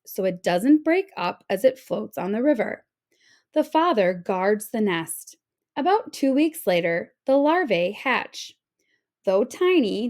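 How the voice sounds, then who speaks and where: A woman reading a children's nonfiction book aloud like a teacher, expressively, with clear emphasis on headings and questions. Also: mid-range voice, darkish tone.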